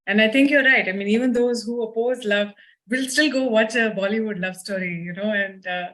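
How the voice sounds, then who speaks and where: A woman's voice: a little high, a little fast, a bit bright, rough recording. Attentive woman moderating. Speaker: discussion host. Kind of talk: conversational panel moderation. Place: online discussion call.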